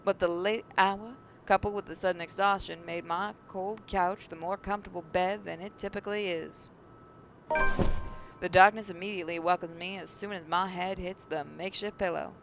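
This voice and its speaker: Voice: fairly even intonation, moderate pace. A person reading visual novel narration and commenting casually as a gaming host, now and then stumbling over words. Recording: clearly noisy mic with low rumble.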